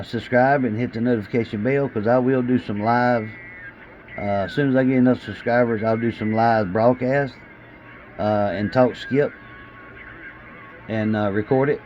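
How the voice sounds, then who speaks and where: A man's voice: medium pitch, fairly even intonation, a little slow, long pauses. A man with a Southern US accent chatting casually to viewers in a folksy, hesitant way, often repeating himself. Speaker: CB radio hobbyist and video host. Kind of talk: casual chat to camera. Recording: muffled, with clear background radio noise.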